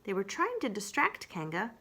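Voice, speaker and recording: A woman's voice: mid-range voice, breathy edge. A woman working as a children's storyteller, chatting casually to young listeners and introducing a storybook. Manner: animated, unhurried storytelling.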